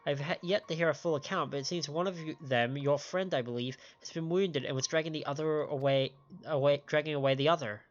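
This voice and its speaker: A man's voice: fairly high pitch, fairly quick, few pauses. Dull-sounding man reading game text aloud. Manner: stumbles over words and repeats phrases while reading. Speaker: game livestreamer. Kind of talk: reading game dialogue aloud with casual commentary. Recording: dull lo-fi stream audio, game music. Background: game music.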